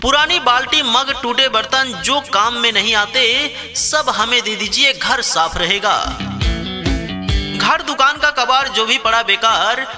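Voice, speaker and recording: A man delivers a scripted street hawker's advertisement in a high-pitched voice. He calls out short, repetitive sales phrases at a slow pace, like an announcement meant for a loudspeaker cart. Music plays clearly behind him. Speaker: street announcer for a scrap buyer. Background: background music.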